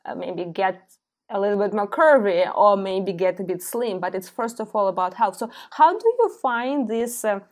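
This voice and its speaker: A woman hosts a podcast in a Ukrainian accent, thinking aloud as she talks. She pauses and restarts often, searching for the right words. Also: medium pitch. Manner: thoughtful, halting with many fillers. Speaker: podcast host. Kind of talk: casual interview chat.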